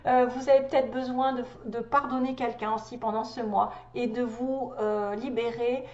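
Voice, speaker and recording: A woman's voice: on the high side, fairly even intonation, normal speed, darkish tone, roomy sound, muffled recording. A woman with a cold giving a casual tarot reading, chatty, with many hesitations and little laughs. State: has a cold. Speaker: tarot reader.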